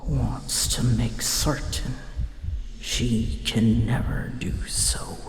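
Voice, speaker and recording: A woman voicing a prickly, wounded female dragon in a whispered ASMR roleplay, speaking breathily in halting, solemn, formal phrases. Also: very deep voice, huge pitch swings, a little hiss or hum, full character voice. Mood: uneasy, dread. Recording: echoey, long reverb tail.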